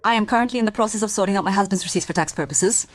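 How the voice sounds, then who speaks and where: A woman's voice: medium pitch, very fast, close to an everyday voice. A woman playing an anxious wife full of doubt in a radio drama, speaking in an insistent, questioning way. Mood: hesitant, businesslike. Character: anxious musician's wife doubting her husband's innocence. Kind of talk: dramatic radio play dialogue and monologue.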